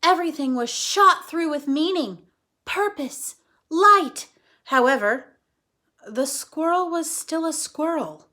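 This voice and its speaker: A woman reads to children in a bright, forceful voice that swings widely in pitch. She takes her time like a teacher at story time, acting out the prose and stepping aside to explain it in simple words. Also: takes it slowly. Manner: expressive, explains simply, often checks in with listeners. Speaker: teacher reading aloud to children. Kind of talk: animated read-aloud storytelling with explanatory asides.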